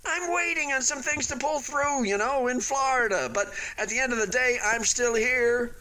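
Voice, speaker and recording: An actor in a radio play voicing an unfinished hotel building, a wistful but stubbornly hopeful man who talks in a halting, conversational way. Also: very high-pitched, bright, forceful tone, low-quality recording. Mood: upbeat, hopeful. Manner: halting, conversational, with sighs and emphatic swings.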